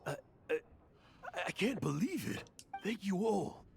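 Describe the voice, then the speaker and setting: A man playing an emotional, grateful character in an anime-style video game, halting, earnest and choked up. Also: sits high, big pitch swings, gravelly, soft background music, heavily acted. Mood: shaken, grateful. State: choked up, shaken. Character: emotional, shaken man overcome with gratitude.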